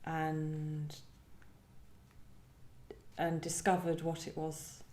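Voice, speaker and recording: Woman, low-pitched, mellow, thoughtful and searching, poet at a literary event, roomy echo. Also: monotone, very slow. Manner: hesitant, searching, thoughtful phrasing. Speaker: poet discussing own work. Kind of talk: reflective literary conversation with poetry reading. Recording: roomy live event sound.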